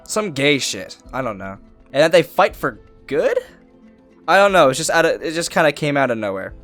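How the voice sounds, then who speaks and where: A man's voice: on the high side, very animated, normal speed, fairly bright tone, faint music behind. A young man hosting a comedic movie review, crude and mocking. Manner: crude, mocking, laughs often. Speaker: host.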